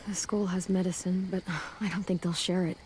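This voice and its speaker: Woman acting a mellow, wary teenage girl survivor. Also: fairly low pitch, level tone, fairly quick, a little hiss or hum, lightly acted, low-quality recording. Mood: worried. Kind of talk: naturalistic video game drama acting.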